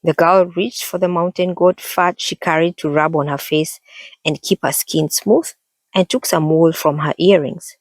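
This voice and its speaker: A woman reading a children's story aloud as an expressive storyteller. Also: fairly low pitch, normal speed, no room sound.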